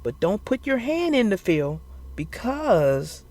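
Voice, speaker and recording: A narrator reading a children's story aloud in an expressive, theatrical and deliberate way. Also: medium pitch. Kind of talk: expressive scripted read-aloud for young children.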